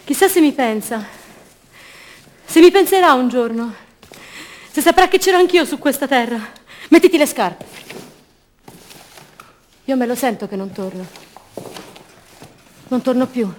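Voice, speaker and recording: A woman's voice: high voice, animated, measured pace, long pauses. An actress playing a sorrowful young disappeared mother longing for her son, in short dreamlike phrases in a radio drama monologue. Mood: wistful.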